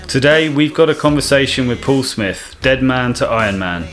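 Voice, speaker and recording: A man's voice: mid-range voice, lively intonation, moderate pace, fairly bright tone, soft background music. A man hosting a podcast, friendly, who repeats words and uses many fillers. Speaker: podcast host. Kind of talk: casual chat and scripted intro.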